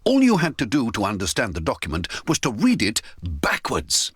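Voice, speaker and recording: A man giving dramatic scripted narration of a story, with an expressive, theatrical delivery. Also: lowish voice, normal speed, husky, no echo, studio quality.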